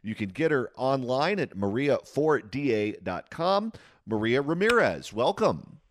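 A man's voice: medium pitch, big pitch swings, unhurried, slight background noise. A man hosting a radio talk show, interviewing a guest with long, conversational questions.

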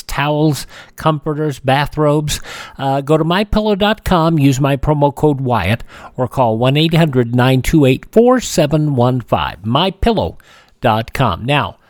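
A man hosting a classic radio hour, reading an ad and introducing shows in a relaxed way, with frequent "uh" pauses. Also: mid-range voice, dry recording, clean studio sound. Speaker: radio host. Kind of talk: casual host reading ads and intros.

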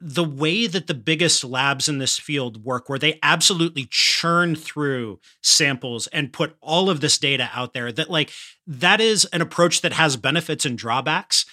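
A man's voice: on the high side, normal speed, sharp tone, dry recording, studio quality. A man hosting an interview podcast, talking conversationally in an emphatic, articulate, explanatory manner. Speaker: podcast host.

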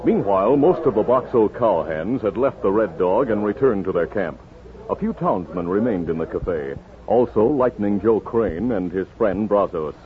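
A man's voice: fairly low pitch, animated, normal speed, crowd noise. A man narrating a 1950s radio western as its announcer, in a formal, dramatic style. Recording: muffled 1950s radio broadcast. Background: music.

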